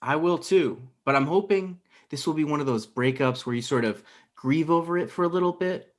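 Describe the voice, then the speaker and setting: A man's voice: on the high side, brisk, natural voice. A man in his thirties playing a needy, wounded, blustering dumped boyfriend in a table read over a video call. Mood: pleading, hurt. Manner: pleading, blustering. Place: indoor room on a video call.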